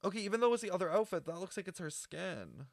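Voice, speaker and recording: Chatty guy streamer doing reaction commentary, kinda high voice thats all over the place, dry close sound. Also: fast talker, gravelly, no room sound. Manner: chatty, rambling, slangy, excitable. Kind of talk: casual reaction commentary and analysis.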